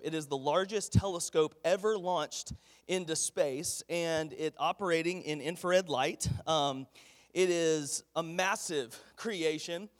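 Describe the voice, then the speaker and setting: A man preaching a folksy, earnest sermon while congested with a head cold. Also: high-pitched. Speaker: pastor. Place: church sanctuary. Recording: echoey church hall.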